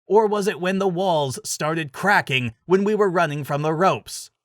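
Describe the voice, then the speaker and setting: A man's voice: sits high, a little slow, dry recording, voice pushed up. A man playing a grumpy, sarcastic wizard with a dry manner in a fantasy story reading. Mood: exasperated. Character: grumpy, sarcastic robed male wizard companion.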